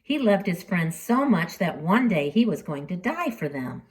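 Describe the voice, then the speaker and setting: A woman storyteller reading expressively to children, dramatic in delivery, with deliberate pauses and emphasis. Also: on the low side, very animated.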